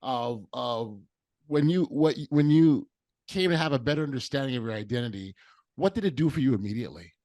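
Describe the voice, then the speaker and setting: A man hosting a podcast interview, asking halting questions with many restarts. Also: mid-range voice, animated, darkish tone, no room sound. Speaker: podcast host. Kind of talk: interview conversation.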